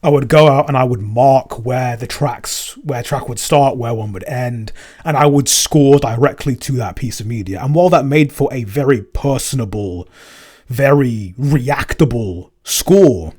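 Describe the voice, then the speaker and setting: Male podcast co-host and composer, medium pitch, informal Q&A chat, reflective and rambling with self-corrections. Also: measured pace, a little mellow. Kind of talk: casual Q&A chat.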